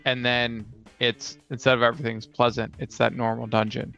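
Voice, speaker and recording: Smooth, even-toned man, gently gravelly. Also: medium pitch, moderate pace. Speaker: co-host and player on a tabletop gaming show. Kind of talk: casual brainstorming chat. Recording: slightly dull recording with music behind.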